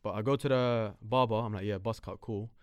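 A man, telling stories fast and loose in casual chat, full of slang and swearing. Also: lowish voice, fairly even intonation, clear, resonant tone, no echo. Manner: rapid, slangy storytelling, swears freely.

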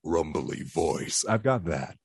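A man's voice: a bass voice, lively intonation, slow, vocal fry, husky, no room sound. A young man, a voice actor, chatting casually in an interview and laughing often. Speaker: voice actor guest.

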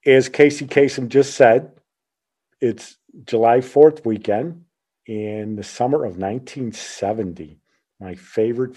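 A man's voice: mid-range voice, warm tone. A man in his sixties hosting a podcast, chatting casually and reminiscing in a rambling, nostalgic way. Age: 60s. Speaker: podcast host.

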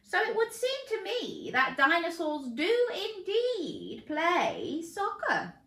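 A woman voicing a grand male chameleon soccer coach who speaks slowly and emphatically. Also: sing-song, fairly bright tone, heavily acted. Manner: drawn-out, booming, emphatic. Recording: roomy echo.

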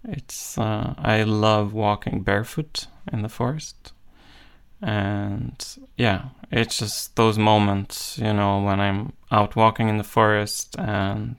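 A man with a fairly low voice and a gravelly fry talks reflectively in an interview. He is thoughtful, feeling his way through each idea as a musician, with plenty of conversational "like". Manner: thoughtful, searching, often says "like". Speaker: interview guest, ambient musician. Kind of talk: reflective casual interview talk.

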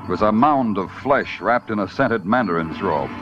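A man playing a weary, hardboiled police detective in a 1940s noir radio drama, terse, wry and deadpan. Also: on the low side, normal speed, close to an everyday voice. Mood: wry, brooding. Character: hardboiled, curt, weary New York police detective narrating. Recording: dull old radio recording, music behind.

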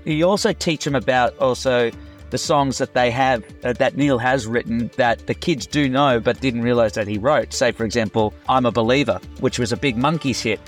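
A man answering interview questions in a chatty, self-deprecating way, with fillers. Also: medium pitch. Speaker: tribute performer and former music teacher.

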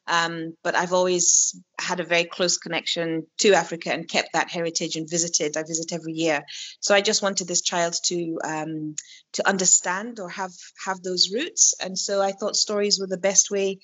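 A Kenyan-raised woman who works as a storyteller, talking in a relaxed interview with many restarts. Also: lowish voice, normal speed. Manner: warm, reflective, with frequent restarts and laughs. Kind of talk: conversational interview. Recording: dull lo-fi audio.

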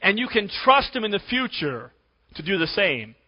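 A pastor preaching a sermon to his congregation while losing his voice, often clearing his throat. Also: sits high, very animated, normal speed. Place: church sanctuary. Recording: dull recording in a reverberant church.

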